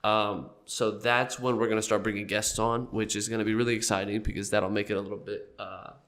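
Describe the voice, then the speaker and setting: A man's voice: fairly low pitch, level tone, fast talker, fairly bright tone. A young man hosting a casual podcast, congested and a little nasal from a cold, joking loosely and coughing now and then. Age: young adult. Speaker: podcast host.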